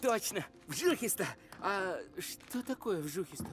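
An actress voicing a naive, curious little boy gnome in an animated film dub, with a childlike, wondering way of talking. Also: mid-range voice, a little slow, faint music behind, close to an everyday voice. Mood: earnest, wistful. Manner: childlike, wide-eyed, wondering.

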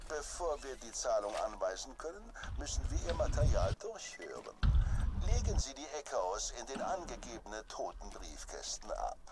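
An officious corporate agent from a video game. He is formal and skeptical, reading bureaucratic orders with extremely animated, swooping intonation. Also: medium pitch, moderate pace. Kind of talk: scripted game dialogue.

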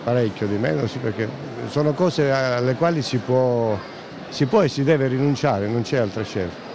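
A man of medium pitch answers an interviewer casually but emphatically, often repeating his words. The handheld mic picks up clear noise from the shopping mall. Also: normal speed. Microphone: interviewer's handheld microphone. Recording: noisy shopping mall ambience.

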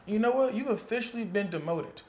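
A man's voice: high-pitched, animated, a little mellow. A man playing a sassy, dramatic best friend who feels betrayed, in a comedy skit. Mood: hurt, sassy. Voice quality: sassy, theatrical, drawn-out delivery.

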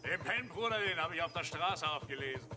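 An actor playing a chatty, coarse provincial farmer in a radio play, talking in a folksy, blunt, rambling way. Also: male voice, on the high side, expressive, fairly quick, over music, close to an everyday voice. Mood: jovial, chatty. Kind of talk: German radio play acting. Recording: clear vehicle noise behind.

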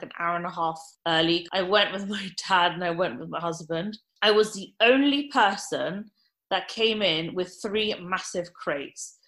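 A woman in her thirties with a bright, clear voice, recounting a story to a podcast host. She talks in an animated, chatty way, full of "um" and "like" as she builds the tale. Her pitch sits in the middle for a woman. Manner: animated anecdote-telling with many fillers. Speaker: restaurant owner guest.

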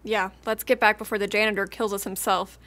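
A woman's voice: mid-range voice, level tone, fairly quick, fairly bright tone, lightly acted. A young woman acting as a wry, practical female college student in an audio drama. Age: young adult. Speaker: student actor.

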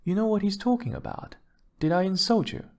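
A man voicing a wealthy, glamorous wife who is breezy, touchy and charming, gushing and quick to defend herself in an audiobook story. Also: medium pitch, sing-song, darkish tone. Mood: indignant. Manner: gushing, defensive, pet names for husband.

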